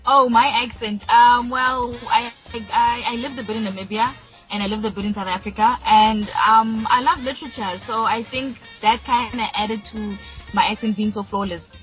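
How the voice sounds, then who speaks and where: A woman's voice: a little high, steady tone, normal speed, a bit bright. A young woman in her twenties from Mozambique, a native Shangana speaker, talking eagerly and casually in an interview. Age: 20s. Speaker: reality show contestant, guest. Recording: dull lo-fi audio with faint music.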